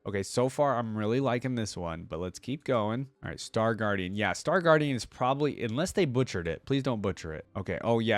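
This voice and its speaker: A man's voice: medium pitch, no room sound. A man hosting a reaction video with casual, informal commentary, thinking aloud as he goes.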